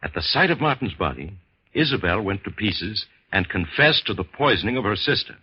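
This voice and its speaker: An actor playing a grave, learned doctor of the supernatural, solemn and portentous, in a 1950s radio horror drama. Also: male voice, on the low side, very animated, a little fast, slightly rough, heavily acted. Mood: grave, ominous. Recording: dull, lo-fi vintage radio recording.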